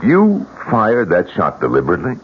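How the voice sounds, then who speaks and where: A man's voice: fairly low pitch, wildly swooping pitch, normal speed, husky, full character voice. An actor playing a brash, skeptical, demanding man in an old radio mystery drama. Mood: questioning. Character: brash, skeptical, demanding male lodge guest. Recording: dull, hissy old radio recording.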